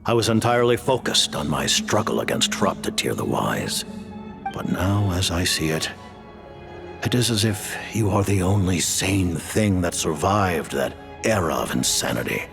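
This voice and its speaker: A low, gravelly voice for a cold and formal leader. He speaks in a measured, grave way, with his pitch rising and falling widely. Quiet music plays under his voice, as in a game cutscene. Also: lively intonation, full character voice. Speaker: Fatui leader. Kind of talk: video game voice acting.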